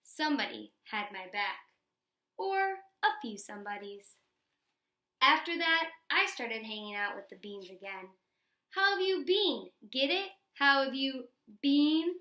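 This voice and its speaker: Female storybook reader, teacher type: bright, forward tone, expressive emphasis, roomy echoing sound. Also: sits high. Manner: animated, dramatic emphasis and pauses. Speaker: elementary teacher reading a picture book aloud. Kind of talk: expressive scripted storybook narration for children.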